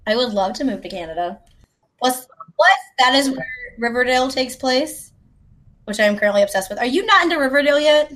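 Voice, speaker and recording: A woman's voice: sits high, animated, a little fast, a bit bright. A woman from South Carolina with an American accent, chatting casually in a playful, self-deprecating, joking way. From South Carolina, United States. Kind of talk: casual chat.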